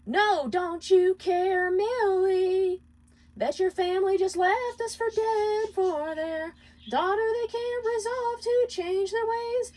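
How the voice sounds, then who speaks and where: A woman's voice: extremely high pitch, takes it slowly, a little mellow, pitched above the performer's own voice, poor audio. A woman voicing an aggrieved, pleading male imp husband in a dramatic story reading. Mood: pleading, bitter singing. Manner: exasperated, pleading, argumentative.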